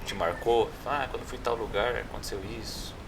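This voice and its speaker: A man hosting a podcast, asking relaxed, soft-spoken questions in casual chat. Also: medium pitch, brisk, recorded from a distance, noisy background.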